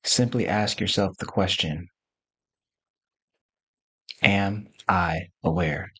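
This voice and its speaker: A man leading a guided meditation, giving gentle instructions in short, spaced phrases as a teacher. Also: deep voice, slow, husky, dry recording.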